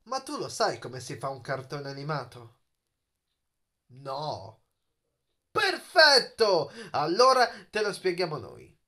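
A man's voice: on the high side, huge pitch swings, bright, forceful tone. A young man hosting a video in a playful, dramatic storytelling manner, as if explaining to children, recorded on a phone in a bedroom. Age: young adult. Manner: playful, dramatic storyteller with drawn-out words and questions. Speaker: video channel host. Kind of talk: theatrical children's explainer to camera. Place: small bedroom, lying on a bed indoors. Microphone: phone at arm's length.

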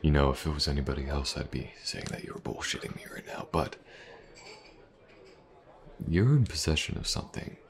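Casting: male actor for tough mercenary warrior, fantasy audio roleplay. Extremely low, crackling fry, easygoing and unhurried. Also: lively intonation. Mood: intrigued, wry. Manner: laid-back, musing, unhurried with pauses and soft chuckles. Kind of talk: fantasy audio roleplay, intimate conversational acting.

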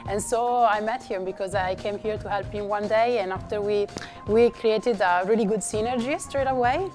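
A woman's voice: mid-range voice, music in the background. Enthusiastic woman. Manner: enthusiastic, halting. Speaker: studio assistant. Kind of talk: documentary interview.

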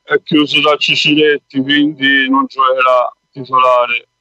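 Chatty male caller, bright tone, dry and muffled phone-in sound. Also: medium pitch, level tone, moderate pace, no echo. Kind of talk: casual radio chat.

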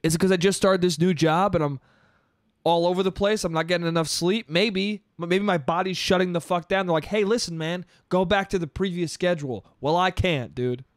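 Solo podcaster, young man, slightly high voice, congested, loose profane rambling, dry close mic in a small room. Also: fairly quick, no room sound. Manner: loose, profane, rambling, laughs at own jokes. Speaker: podcast host. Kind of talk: casual solo podcast monologue. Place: small bedroom studio. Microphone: boom-arm studio mic up close.

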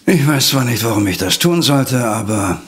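An actor playing a gruff, impatient police inspector who gives in grudgingly, in a German audio drama. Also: male voice, mid-range voice, lively intonation, moderate pace, close to an everyday voice. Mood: grudging, annoyed. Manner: gruff, begrudging.

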